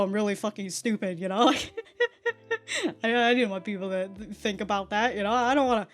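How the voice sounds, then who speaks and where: A young woman on a casual, rambling rant, stammering and repeating words as she goes, with animated, sweary delivery. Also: medium pitch. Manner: often laughs while talking. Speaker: online content creator.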